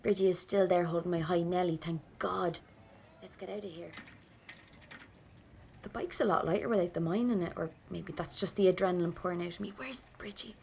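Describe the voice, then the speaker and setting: Wry young Irish rebel narrator. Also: female voice, lowish voice, brisk, creaky, a little mellow, a little hiss or hum. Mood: breathless, anxious. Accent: Irish, Dublin. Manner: colloquial, wry, dramatic storytelling. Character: young female anti-Treaty rebel in 1920s Dublin, wry and defiant. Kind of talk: first-person audio drama narration.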